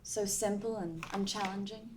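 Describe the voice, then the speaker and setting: A woman's voice: medium pitch, fairly even intonation, moderate pace, noisy background, natural voice. A smug, competitive teen witch girl, read by a woman at a table read. She talks in a haughty, condescending way. Her voice sits in a middle range.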